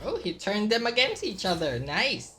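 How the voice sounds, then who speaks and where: A woman's voice: mid-range voice, huge pitch swings, moderate pace, background noise. A woman with a Filipino accent streaming a game, reacting casually and switching between Tagalog and English.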